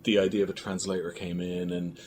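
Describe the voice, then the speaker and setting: A man with a lowish voice talks casually as an interview guest about his creative work. He thinks aloud, halting and repeating words as he goes. There is some ambient noise behind him. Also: little pitch movement, darkish tone. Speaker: filmmaker guest being interviewed. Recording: clearly noisy room recording.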